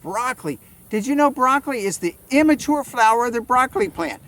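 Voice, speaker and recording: A man's voice: very high voice, lively intonation, a little fast, fairly bright tone. A man giving playful gardening asides for children, asking and answering his own questions theatrically. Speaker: garden educator guest.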